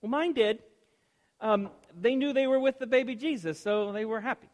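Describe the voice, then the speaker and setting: A woman's voice: on the high side, moderate pace, darkish tone, voice pushed up, close to an everyday voice. Eager little boy voiced by a woman. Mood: earnest. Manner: earnest, pleading, stumbling starts. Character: eager polite seven-year-old boy. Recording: echoey room, long reverb, faint hiss.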